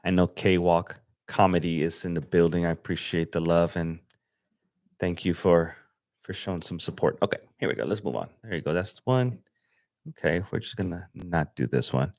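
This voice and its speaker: A young man from Los Angeles chatting casually on a livestream as he edits photos, relaxed and slangy, thinking aloud with fillers. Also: sits low, moderate pace, gravelly, no room sound, clean studio sound. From Los Angeles, USA. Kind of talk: casual livestream chat while editing. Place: home desk setup.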